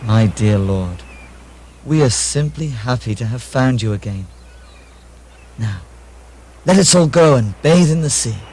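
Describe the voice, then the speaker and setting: An actor playing a devoted disciple in a puppet show, speaking earnestly, deliberately and reverently. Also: male voice, mid-range voice, expressive, darkish tone, a little hiss or hum, lightly acted. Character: devoted male disciple gently addressing his lord.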